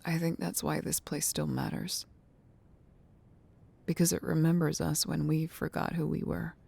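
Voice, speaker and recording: A woman playing a gentle, nostalgic childhood friend in an ASMR roleplay, speaking softly and breathily, tender and unhurried. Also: low voice, level tone, exaggerated voice. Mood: wistful, tender. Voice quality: soft, breathy near-whisper with creaky fry. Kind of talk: ASMR roleplay, soft intimate talk.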